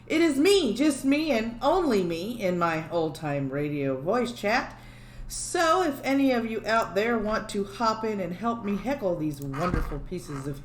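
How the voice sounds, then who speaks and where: A woman's voice: mid-range voice, fairly bright tone. Chatty lady streaming alone at home, laughs off her own tech glitches, echoey room. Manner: chatty, self-deprecating, jokes about her own glitches. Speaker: livestream host. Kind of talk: casual livestream chat. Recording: echoey room with faint background hum.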